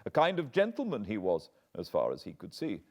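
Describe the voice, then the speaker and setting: Anxious butler, as a man puts him on, English, pleading, loud and lively. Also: fairly low pitch, a little fast, voice pushed up, exaggerated voice. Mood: confiding. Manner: agitated, pleading. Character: anxious, earnest older male butler.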